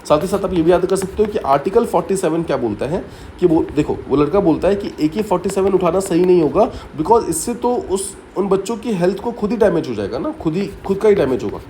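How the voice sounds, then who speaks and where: A man's voice: high voice, animated, quick pace, mellow, a little hiss or hum. A man teaching exam students by telling a story, explaining step by step and often repeating key points with short English asides. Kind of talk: story-based mnemonic lesson.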